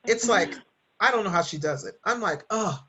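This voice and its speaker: Husky-voiced woman in casual conversation. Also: medium pitch, expressive, moderate pace, sharp tone, muffled recording. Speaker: podcaster and fiction writer. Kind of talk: casual chat.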